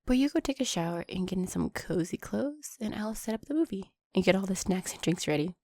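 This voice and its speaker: A woman playing an affectionate, anxious vampire girlfriend in an intimate roleplay audio drama, talking in a soft, chatty way. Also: mid-range voice, expressive, brisk, no room sound. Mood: sweet, slightly uneasy. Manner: soft, chatty, sighing and giggling, breaking into whispers.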